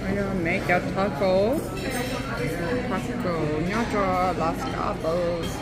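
A woman's voice: medium pitch, fairly even intonation, slow, over loud music. Chatty woman vlogging a breakfast buffet. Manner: chatty, switching between English and Vietnamese. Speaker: food and travel vlogger. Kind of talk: casual narration while filming. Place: hotel breakfast buffet restaurant.

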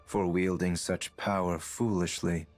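An actor playing a cold, menacing young man who lectures slowly and condescendingly. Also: low voice, flat delivery, darkish tone, faint music behind. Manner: slow, condescending warnings.

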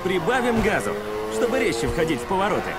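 A man's voice: sits high, very animated. A man acting a confident drifting mentor who gives instructions in a dubbed video game. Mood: confident, instructive. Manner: brisk coaching, instructive. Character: laid-back drifting mentor, confident and smooth-driving man. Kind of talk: video game dialogue, Russian dub. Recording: loud car engine noise behind voice.

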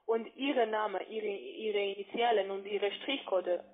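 A woman's voice: medium pitch, fairly even intonation, a little slow. Foreign-accented woman on a tinny phone line. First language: not German, speaks it as a second language. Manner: hesitant, tags phrases with questioning checks. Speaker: call-centre caller posing as financial adviser. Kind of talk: phone sales pitch, explaining. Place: phone call.

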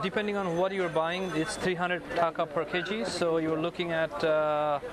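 Male travel vlogger, somewhat high voice, chatty walk-through commentary, handheld camera in a dense shouting crowd. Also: fairly even intonation. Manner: explains prices aloud, thinks out loud with pauses. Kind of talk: casual walking commentary. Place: busy outdoor street market. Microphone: handheld camera mic. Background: loud market crowd.

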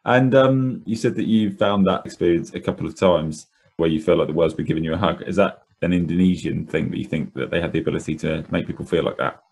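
A man with a very low, gravelly voice and a quick delivery, hosting a podcast interview. He asks affable questions that ramble a little, padded with fillers, and the voice has a husky edge. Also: darkish tone. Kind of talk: casual interview.

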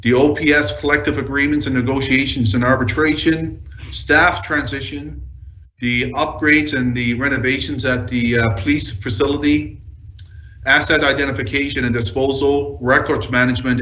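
Male, mid-pitched official, measured list-like delivery, formal council presentation, boxy echoing room sound. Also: fairly even intonation, sharp tone, dull audio, slight background noise.

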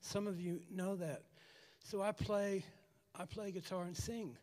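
A raspy-voiced man giving a halting personal testimony to a church congregation, repeating words as he goes. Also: sits high, fairly even intonation, darkish tone. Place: church hall. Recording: long church hall reverb.

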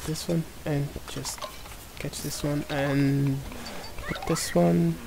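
A man's voice: a little high, little pitch movement, slow, creaky, background noise. A young man from Portugal with a Portuguese accent, casually commenting on a game while he plays, mumbling to himself. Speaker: gameplay commentator.

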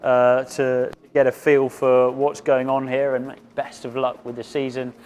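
A man's voice: mid-range voice, completely flat delivery, a little slow, darkish tone, slight background noise. A man with an inquisitive, conversational manner, interviewing his guide on a factory tour. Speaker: interviewer and commentator.

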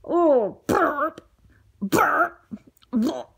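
A woman voicing a hungry, wicked male wolf in a lower, gloating voice. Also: lively intonation, extremely slow, gravelly, a bit bright, heavily acted.

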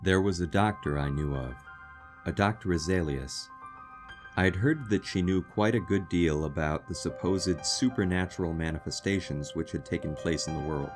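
An actor playing an earnest, concerned fiance who recounts a strange tale in a radio drama. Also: male voice, low-pitched, moderate pace, music in the background, lightly acted. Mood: somber recollection. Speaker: lead actor and first-person narrator.

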